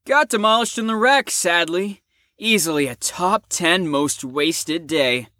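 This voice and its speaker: A woman's voice: on the low side, a little slow, pushed and bright, no echo, clean studio sound. A woman playing a brash, crude, flippant psychiatric patient in an audio drama, with a theatrical, swaggering manner. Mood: sardonic.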